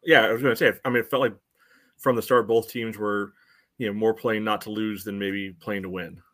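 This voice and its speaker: A man co-hosting a sports podcast, giving casual analysis in measured, halting phrases. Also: fairly low pitch, fairly even intonation, fairly bright tone.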